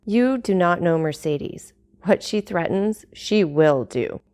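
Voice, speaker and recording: A woman's voice: lowish voice, animated, takes it slowly, a little mellow. Fernand: woman reading a lovesick, earnest young man, storybook. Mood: desperate. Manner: earnest, resolute.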